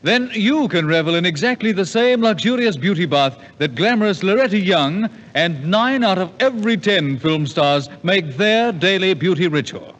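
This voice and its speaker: A man delivers a scripted 1950s radio commercial. His voice is fairly high and moves up and down with great animation. It rings with long reverb on a muffled old recording. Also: animated, moderate pace, hardly any pauses, a bit bright. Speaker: commercial announcer.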